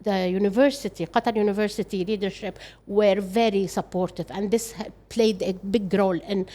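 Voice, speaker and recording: A woman's voice: mid-range voice, steady tone, mellow. Older woman, Arabic accent, earnest. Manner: earnest, halting with frequent restarts. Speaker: guest. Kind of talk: reflective interview conversation.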